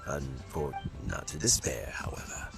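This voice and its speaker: An actor playing a courteous, mild old male official in a video game, with measured, formal speech. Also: rumbling low, animated, vocal fry, exaggerated voice. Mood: explanatory. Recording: music underneath.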